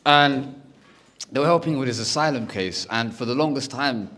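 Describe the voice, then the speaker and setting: A young man doing stand-up, telling stories in a lively way and acting out the people in them. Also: medium pitch, moderate pace. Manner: animated, acts out every character's lines. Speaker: stand-up comedian. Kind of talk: stand-up storytelling. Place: comedy stage.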